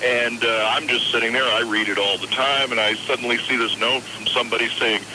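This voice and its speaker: A man's voice: mid-range voice, fairly even intonation, a little fast. A man calling in to a radio show, chatting casually and with enthusiasm. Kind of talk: casual phone-in chat. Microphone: telephone. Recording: noisy phone call on radio.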